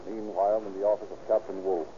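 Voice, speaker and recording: A man's voice: lowish voice, little pitch movement, fast talker. A man narrating in a dramatic, formal 1930s radio style. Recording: muffled, noisy old radio recording.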